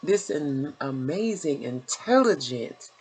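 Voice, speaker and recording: A woman's voice: sits low, animated, takes it slowly, a little mellow, husky. A woman, chatting casually as an interviewer in a blunt, familiar and encouraging way. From Chicago, United States. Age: 50s. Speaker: interviewer and host.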